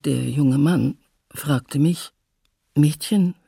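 Elderly female witness, documentary radio drama. Gravelly, hesitant, slow and husky. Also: low-pitched, dark tone, dry recording, studio quality, exaggerated voice. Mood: quiet, reflective. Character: elderly woman survivor recalling her childhood ordeal, hesitant.

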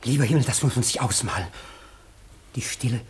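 Very husky, excitable male clerk. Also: medium pitch, brisk. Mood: feverish, suspenseful. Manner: theatrical, vividly dramatising. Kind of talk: German radio drama acting.

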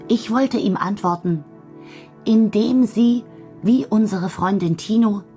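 Music plays clearly behind a woman letter-writer of 1910s bohemia in a radio drama. Her pitch is a little low for a woman. She tells things in a measured, observant way with dry amusement. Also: a little mellow.

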